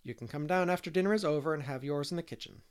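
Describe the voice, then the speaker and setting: A man's voice: a little high, fast talker, clean tone, close to an everyday voice. A man voicing a stern, no-nonsense older woman guardian with a firm, scolding manner in a storybook. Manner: scolding, firm, matter-of-fact.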